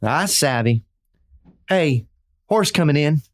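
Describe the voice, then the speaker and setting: A man's voice: fairly high pitch, expressive, slow. A man playing a naive, curious young outlaw in a radio play, timid. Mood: curious, meek. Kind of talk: Western radio play acting.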